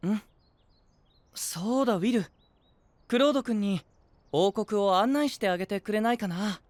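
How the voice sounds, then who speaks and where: An actor playing a gentle, blithely persuasive princely young knight, soft-spoken and leisurely, in an anime-style audio drama. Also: male voice, sits high, normal speed, dry recording, close to an everyday voice. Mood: gentle, easygoing.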